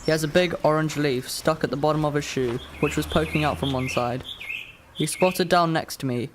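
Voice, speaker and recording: A man's voice: a little high, moderate pace, noisy background, natural voice. A man playing a precise, literal-minded fifteen-year-old boy in a radio drama. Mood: matter-of-fact. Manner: matter-of-fact, precise, literal. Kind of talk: radio drama narration and dialogue.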